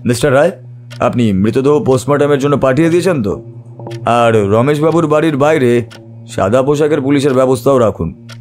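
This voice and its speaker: Man as measured, shrewd private detective. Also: medium pitch, animated, darkish tone, faint music behind, lightly acted. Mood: firm, instructing. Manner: measured, analytical, authoritative. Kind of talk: audio drama dialogue.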